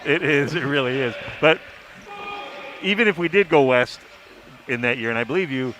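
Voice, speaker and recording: A man's voice: mid-range voice, lively intonation, normal speed, a bit bright. A man discussing sports casually as a commentator, repeating phrases for emphasis. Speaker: sports beat writer and commentator. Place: sports arena. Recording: noisy arena ambience.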